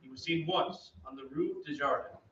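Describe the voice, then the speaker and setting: A man's voice: on the high side, moderate pace, shouting, lightly acted. A man acting a suave, courteous opera singer who also narrates an old-time radio drama. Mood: grave storytelling. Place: theatre stage. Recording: echoey stage, distant muffled mic, faint noise.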